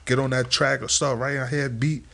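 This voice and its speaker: A man's voice: medium pitch, steady tone, fast talker, creaky, a bit bright, slight background noise. A man who is a music artist, talking earnestly as a guest on a casual podcast and often checking in with his listener. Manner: earnest, repeats "you feel me".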